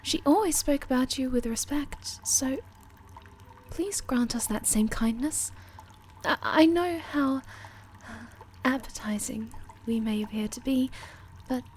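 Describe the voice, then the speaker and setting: A woman's voice: a little high, a little mellow, a little hiss or hum. A woman playing a timid but loyal moth girl in an ASMR roleplay, earnest and hesitant, with a pleading, stammering delivery.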